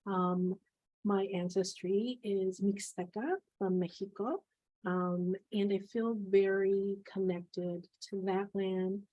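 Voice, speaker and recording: A woman's voice: fairly low pitch, dark tone, dry recording, rough recording. A woman who cares for land and grows vegetables, introducing herself on a video call in an earnest way. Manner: earnest, with fillers. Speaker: land caretaker and grower. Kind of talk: casual self-introduction.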